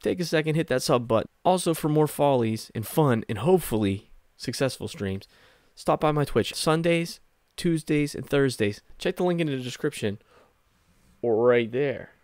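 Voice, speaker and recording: A man's voice: medium pitch, lively intonation, normal speed, a little mellow, no echo. A man in his thirties giving a casual tech tip to camera as a streamer, playful and self-deprecating, close on a desk microphone. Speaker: streamer and video host.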